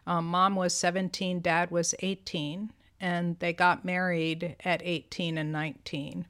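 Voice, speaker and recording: A woman in her seventies co-hosts a podcast, telling stories about her life with real animation. Her voice sits a little low, and she laughs often, slipping into other people's voices as she quotes them. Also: little pitch movement, a little slow, a bit bright. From United States. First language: Greek. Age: 70s. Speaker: podcast co-host. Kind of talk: casual conversation.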